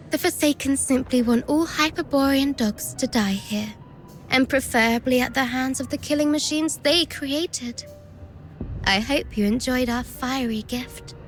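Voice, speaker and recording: A woman voicing a treacherous young villainess who taunts sarcastically and gleefully at a slow, theatrical pace. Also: sits high. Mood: mocking. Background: faint music.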